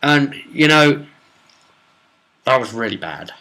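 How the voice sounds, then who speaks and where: Bright-voiced man recounting a tabletop game. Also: medium pitch, very animated, slow pace, poor audio. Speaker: game master. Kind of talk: casual recap narration of a tabletop role-playing session.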